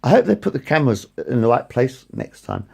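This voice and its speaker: Gravelly raspy guy almost fifty, talking into his phone, keeps circling back and correcting himself. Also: medium pitch, big pitch swings, brisk, darkish tone. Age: 40s. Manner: repetitive, meandering, self-correcting. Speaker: vlogger. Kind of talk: rambling casual monologue to camera. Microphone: phone front camera.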